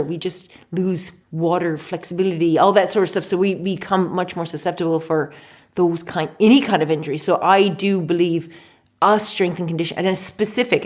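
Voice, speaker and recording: A woman in her forties from Canada, a physiotherapist guest telling stories in a chatty, animated way in a casual interview. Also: fairly low pitch. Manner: chatty, animated storytelling with laughs.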